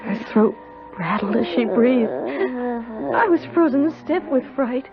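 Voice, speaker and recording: A woman's voice: fairly high pitch, takes it slowly, gravelly, over music. An actress playing a sensitive, easily frightened young woman in a radio drama, with an earnest, hesitant, dramatic delivery. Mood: hushed dread. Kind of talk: old-time radio drama acting. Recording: dull old radio recording.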